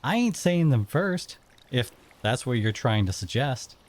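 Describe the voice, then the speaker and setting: An actor playing a blunt, wary sea-dwelling monster in an audio drama, with casual, outspoken phrasing. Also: male voice, mid-range voice, sing-song, unhurried, darkish tone. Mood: wary, exasperated.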